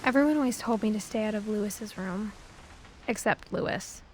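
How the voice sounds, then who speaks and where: A woman's voice: medium pitch, moderate pace, slight background noise, lightly acted, rough recording. A woman playing a pensive teenage girl who recalls her family in scripted game narration, understated and reflective. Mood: wistful, reflective. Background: music.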